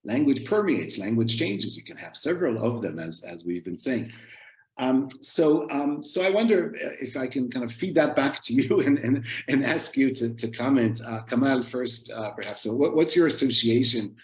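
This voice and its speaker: A man's voice: medium pitch, animated, moderate pace. A man moderating an online panel, talking with many fillers and restarts over a video call. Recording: echoey room on a video call.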